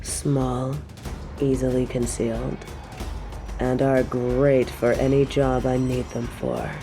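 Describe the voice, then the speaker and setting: A woman's voice: a bass voice, level tone, music in the background, heavily acted. A woman playing a possessive, imperious evil queen in an audio roleplay, taunting and haughty. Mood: condescending, taunting. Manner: slow, taunting, imperious, with breathy drops to a whisper. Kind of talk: scripted audio roleplay drama.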